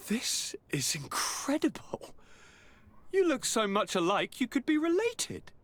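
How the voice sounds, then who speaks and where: An actor playing an effusive, courtly aristocratic governor in a fantasy video game, with a theatrical, affectionate manner. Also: male voice, very high voice, wildly swooping pitch, slow, long pauses, fairly bright tone, full character voice. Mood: delighted, courtly. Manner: flamboyant, courtly.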